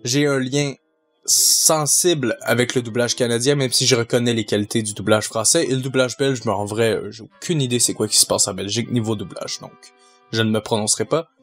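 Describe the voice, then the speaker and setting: A man's voice: medium pitch, fairly even intonation, brisk, faint music behind. A man with a Quebec French accent, casually answering viewer questions and thinking aloud as he talks. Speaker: fandub group member.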